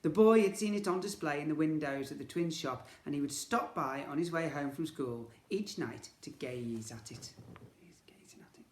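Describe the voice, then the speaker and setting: A man's voice: on the high side, moderate pace. Theatrical male storybook reader in an echoey room. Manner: theatrical, expressive, with emphatic sound words. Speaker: teacher reading a story to children. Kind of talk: animated storybook reading for young children.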